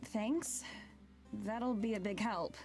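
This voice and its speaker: A woman playing an anxious, hesitant, soft-spoken young woman in a visual novel. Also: medium pitch, takes it slowly, faint music behind, natural voice. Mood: hesitant, polite. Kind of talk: visual novel character acting.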